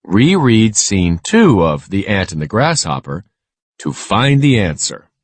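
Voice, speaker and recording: A man reading a scripted children's lesson aloud in a measured, instructional way. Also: lowish voice, big pitch swings, no echo.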